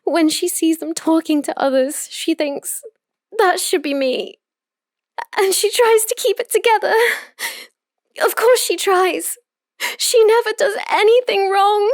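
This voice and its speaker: A woman playing an obsessive, possessive young yandere in an intimate roleplay audio monologue, telling her story with deliberate emotional intensity. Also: very high-pitched, dry recording, clean studio sound. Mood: anguished, desperate.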